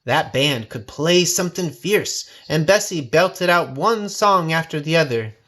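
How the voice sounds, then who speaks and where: A male storybook reader with a fairly high, bright voice. He takes his time over each phrase and tells the folksy tale with expressive, suspenseful drama. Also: a little slow. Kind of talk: dramatic scripted storytelling, read aloud in folksy first person.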